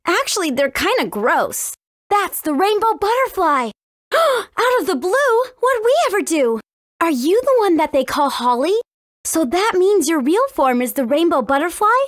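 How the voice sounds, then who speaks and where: A friendly teenage princess heroine in a video game, speaks in a high, very animated voice. She is earnest and careful, and the recording is dry and close. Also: dry recording. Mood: startled, curious, then friendly, eager. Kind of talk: video game dialogue acting.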